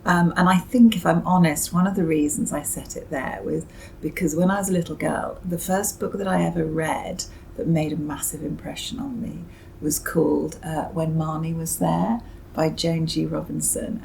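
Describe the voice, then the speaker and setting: A woman's voice: deep voice, vocal fry, darkish tone, a little hiss or hum, low-quality recording. A middle-aged or older British woman giving thoughtful, somewhat hesitant interview answers as a novelist.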